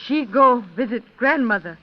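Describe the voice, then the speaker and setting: An actress playing a stubborn, solemn mother on the witness stand, speaking terse, halting broken English in an old radio drama. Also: on the high side, close to an everyday voice. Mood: stolid, insistent. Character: stubborn, solemn Native woman, mother giving testimony. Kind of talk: 1930s radio drama acting. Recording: dull old radio recording.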